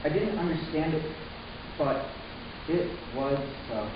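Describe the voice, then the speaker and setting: Casting: anguished, defiant young man, played by a male actor, slightly high voice, halting and intense first-person drama, heavy hiss. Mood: vulnerable. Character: anguished, defiant young human male narrator of science fiction. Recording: echoey room from far off, muffled, hissy.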